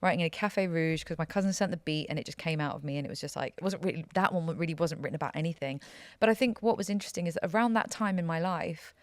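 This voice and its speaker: Woman, podcast guest. Low, chatty storyteller, dry close recording. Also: fast, hardly any pauses, dry recording. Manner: chatty, laughing, animated storytelling. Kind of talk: casual interview chat.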